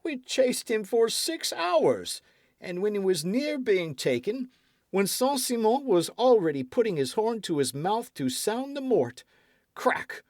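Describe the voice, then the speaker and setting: Peevish old-world king, self-pitying and sharp-tongued, read by an audiobook narrator; very lively pitch. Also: unhurried, no echo, studio quality. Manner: complaining, then imperious and accusing. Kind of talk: dramatized audiobook reading.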